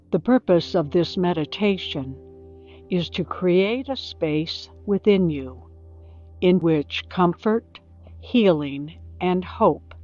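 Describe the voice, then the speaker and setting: Female meditation guide, lowish pitch, very slow, pausing between short phrases, music underneath. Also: dark tone. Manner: deliberate, short phrases with pauses. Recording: slightly dull, lo-fi recording with music. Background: background music.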